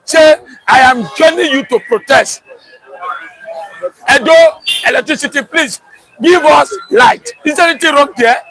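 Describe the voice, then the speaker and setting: A man's voice: very high voice, lively intonation, unhurried, creaky, pushed and bright, slight background noise, poor audio. A Nigerian man with a Nigerian accent, arguing emphatically in a street debate and slipping into Pidgin.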